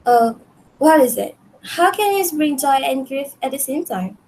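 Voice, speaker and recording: A woman's voice: sits high, big pitch swings, unhurried, darkish tone, a little hiss or hum, heavily acted. A young woman playing an earnest, imperious queen of ancient Greece in an amateur radio drama. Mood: questioning. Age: young adult. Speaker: student actor.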